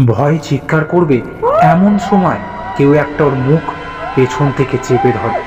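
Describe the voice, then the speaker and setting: A man narrating a scripted horror story in a dramatic, deliberate way. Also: fairly high pitch, lively intonation, muffled recording. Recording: echoey, reverberant, music behind.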